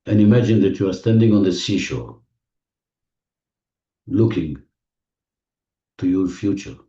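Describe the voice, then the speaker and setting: A man leading a guided visualization in non-native English phrasing, giving gentle, repetitive instructions with deliberate pauses, recorded muffled and distant in an echoey room. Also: sits low, animated. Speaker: guided meditation leader. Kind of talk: guided visualization, spoken slowly to a listener.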